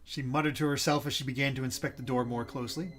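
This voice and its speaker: A man's voice: medium pitch, steady tone, quick pace, over a noisy crowd. Man reading and chatting on game stream. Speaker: game streamer co-host and narrator. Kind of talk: reading game narration aloud and casual chat.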